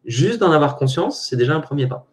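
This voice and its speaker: A man from Brittany, a coach on an online panel, explaining his ideas calmly with everyday examples. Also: fairly high pitch, fairly quick. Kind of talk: casual panel discussion.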